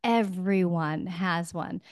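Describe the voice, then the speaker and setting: North American woman co-host, chatty and emphatic, smooth clear voice, dry close sound. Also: fairly low pitch, slow pace, no echo. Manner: chatty, emphatic, casual swearing. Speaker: podcast co-host. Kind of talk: casual podcast chat.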